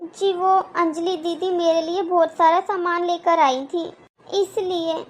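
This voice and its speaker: A woman's voice: extremely high pitch, fairly even intonation, takes it slowly. A woman voicing a defensive, self-justifying wife answering her husband in a cartoon moral story.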